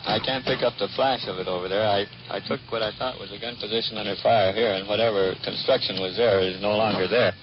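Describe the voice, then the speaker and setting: Male soldier, lowish voice, terse military talk, heavy noise, dull wartime field recording. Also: fairly even intonation, quick pace, few pauses. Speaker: soldier on artillery liaison. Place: military command post.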